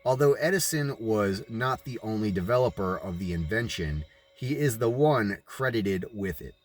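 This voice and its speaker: Man, lowish husky voice, deliberate audiobook narration, informal asides, music behind. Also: lively intonation. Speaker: reader of a book, with asides.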